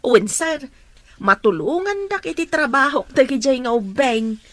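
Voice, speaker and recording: High-voiced Ilocano woman therapist. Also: lively intonation, normal speed, fairly bright tone, no room sound. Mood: respectful, reporting. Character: respectful female therapist, encouraging and devout. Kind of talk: Ilocano radio drama acting. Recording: music behind the voice.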